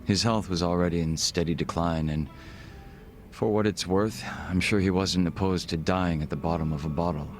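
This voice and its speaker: A man voicing a refined, reserved gangster in a video game cutscene, speaking in formal, measured, polite phrases. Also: deep voice, fairly even intonation, a little hiss or hum, natural voice. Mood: somber, composed. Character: refined, reserved Korean gangster, a former body double.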